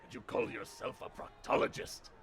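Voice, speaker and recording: A man playing a condescending, excitable wounded character with a gravelly voice in a comic audio drama. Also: normal speed, soft background music, natural voice.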